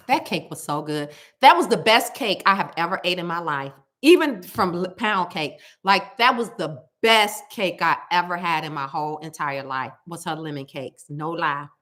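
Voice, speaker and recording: A woman with a loud, carrying voice, chatting casually to her viewers and telling stories with lots of emphatic repetition. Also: on the low side. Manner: animated storytelling, repeats words for emphasis. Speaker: cooking vlogger.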